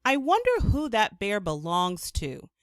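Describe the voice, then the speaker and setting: A kindly young artist in a children's storybook , read by a woman. He muses aloud, thoughtful and easily inspired. His pitch rises and falls expressively in a dry, close recording. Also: huge pitch swings, a little slow, smooth, no echo. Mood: musing.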